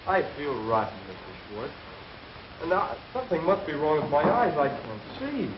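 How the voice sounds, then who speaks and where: An actor playing an ailing, hesitant young worker who is deferential, in a 1930s film. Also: male voice, fairly high pitch, very animated, moderate pace, highs cut off. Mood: miserable. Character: ailing, dejected young worker with failing eyes. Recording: distant, echoey, noisy 1930s film soundtrack.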